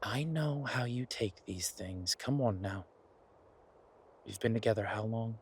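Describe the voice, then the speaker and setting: A man playing an affectionate, eager chef boyfriend in a romance audio roleplay, husky-voiced, teasing and earnest as he rambles to justify himself. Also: fairly low pitch, measured pace, a little hiss or hum.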